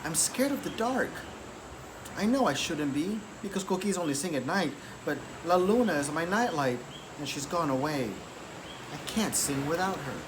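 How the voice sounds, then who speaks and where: A man plays a tiny, timid storybook tree frog with a raised, swinging pitch. The frog is anxious and hushed, afraid of the dark. There is clear noise behind the voice. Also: lively intonation, normal speed, lightly acted. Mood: frightened. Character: small timid coqui frog, scared of the dark. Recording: clearly noisy background.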